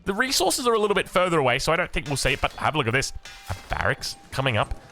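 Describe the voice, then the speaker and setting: A young Australian man casting a video game match, energetic and excitable, his voice a little hoarse from a long day of commentary. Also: very fast, soft background music. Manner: excitable, energetic, big pitch swings. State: slightly hoarse. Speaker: game caster.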